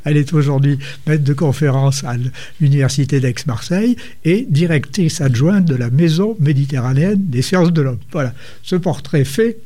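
A man hosts a community radio interview with a soft, mellow tone and a voice pitched a touch high for a man. He talks in long, looping sentences. He adds small murmurs of agreement while the guest speaks. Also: moderate pace. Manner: long winding introductions, frequent murmured assent. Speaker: radio show host.

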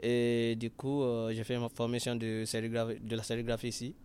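A man's voice: mid-range voice, completely flat delivery, a little fast, a little mellow, clear, resonant tone. A young man from western Nigeria whose first language is Yoruba, talking in an interview with many fillers and restarts. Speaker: interviewee, artist and freestyle rapper. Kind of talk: casual interview in learner French.

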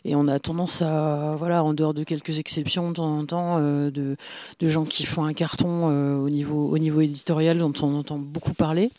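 A man's voice: fairly high pitch, flat delivery, moderate pace, warm tone, no echo. A man who is a comic book author, talking in a casual interview with many fillers and restarts. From France.

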